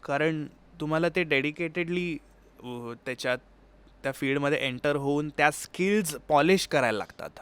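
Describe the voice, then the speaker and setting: A man's voice: on the high side, moderate pace, fairly bright tone, clear, resonant tone. A man, an interview guest explaining his experiences conversationally and mixing in English words. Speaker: interview guest, sitar player.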